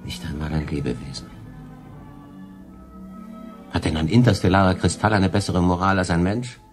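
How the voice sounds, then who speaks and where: An actor playing a melancholy human castaway in a sci-fi radio drama, halting and emotional in his delivery. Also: low voice, music in the background, natural voice. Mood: grieving, brooding. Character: melancholy, guilt-ridden human castaway man on island.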